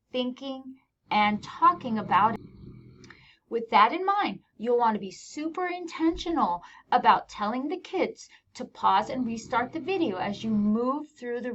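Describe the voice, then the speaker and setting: A woman's voice: fairly high pitch, fairly bright tone. A woman with an American accent presents scripted instructional narration as a teacher trainer, explaining things plainly with informal touches. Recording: dull lo-fi voice-over recording.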